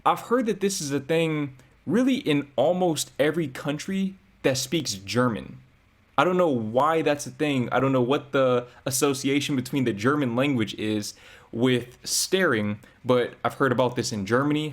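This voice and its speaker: A man talking through his thoughts as a reaction vlogger, reflective and conversational. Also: mid-range voice. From United States. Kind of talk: casual commentary.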